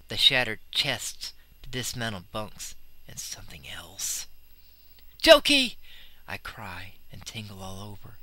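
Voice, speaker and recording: Man acting a tense, terrified young sailor, bright voice. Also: lowish voice, poor audio. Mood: shaken, then vengeful, frantic. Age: young adult. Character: terrified young sailor recounting a sea monster attack. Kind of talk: dramatic first-person horror storytelling.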